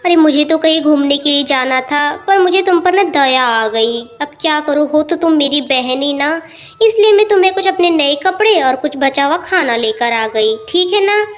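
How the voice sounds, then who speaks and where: A woman's voice: high-pitched, animated, moderate pace. A woman voicing a boastful, condescending rich elder sister in a cartoon tale, with a patronizing, emphatic manner.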